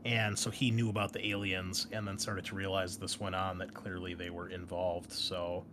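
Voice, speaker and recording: Male game master, low voice, casual and halting explainer piecing things together from memory, some background noise. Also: little pitch movement, sharp tone. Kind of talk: casual explanation of a tabletop game's story.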